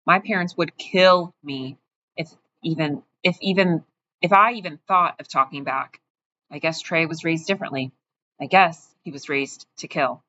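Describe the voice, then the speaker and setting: A woman's voice: low-pitched, moderate pace, pushed and bright. A woman voicing a resentful, judgmental teenage schoolboy in an audiobook reading, talking bluntly and coldly. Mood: bitter. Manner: blunt, cold, matter-of-fact. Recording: dull, muffled audio with faint hiss.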